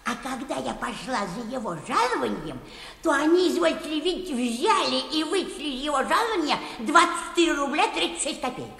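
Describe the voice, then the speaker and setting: An actress playing a pestering, plaintive older petitioner in a comic radio play, whining and obsequious in an old-fashioned folksy way. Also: female voice, high-pitched, lively intonation, brisk, creaky, a bit bright, heavily acted. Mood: plaintive, insistent. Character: pestering, plaintive older petitioner woman, humble yet stubborn. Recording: echoey hall reverb.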